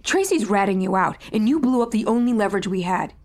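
A woman playing a sharp-tongued, scheming fugitive in an audio drama, sarcastic and clipped. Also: medium pitch, expressive, quick pace, close to an everyday voice. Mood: urgent, decisive. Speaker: actress in audio fiction. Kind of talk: serialized audio drama acting.

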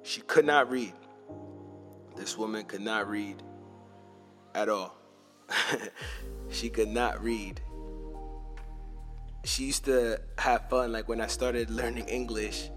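A young man hosting a podcast, telling loose, slangy stories in a casual way and dropping into low asides. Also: steady tone, takes it slowly, pauses often, a bit bright, soft background music. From Montreal, Canada. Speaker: podcast host. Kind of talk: casual storytelling.

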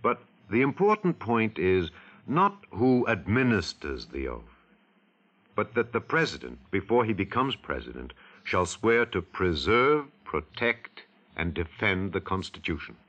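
A man's voice: on the low side, sing-song, unhurried, fairly bright tone, slightly rough. An American man delivering scripted vintage radio narration as an announcer, solemn, emphatic and rhetorical. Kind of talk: scripted mid-century radio civics narration. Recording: dull vintage radio recording.

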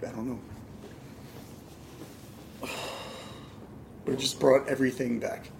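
A man playing a shaken, grieving man in a naturalistic horror audio drama, with halting, emotional delivery. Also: medium pitch, level tone, vocal fry, slight background noise. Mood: haunted, subdued. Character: Nate, shaken grieving man haunted by strange events.

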